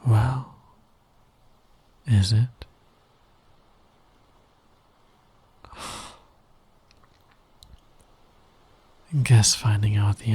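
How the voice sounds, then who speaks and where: A man playing a smug, flirty fantasy villain in an intimate ASMR roleplay, breathy and teasing, often sinking toward a whisper. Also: medium pitch, mellow, exaggerated voice. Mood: teasing, probing. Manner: teasing, slow, deliberate, dominant. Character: flirty, smug male fantasy villain, patient, smitten with hero. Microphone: close microphone, dry sound.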